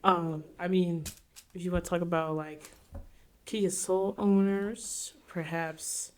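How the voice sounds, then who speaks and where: A woman's voice: on the low side, steady tone, slow, darkish tone. A woman guest on a casual podcast, rambling and packing her sentences with fillers. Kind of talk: casual chat.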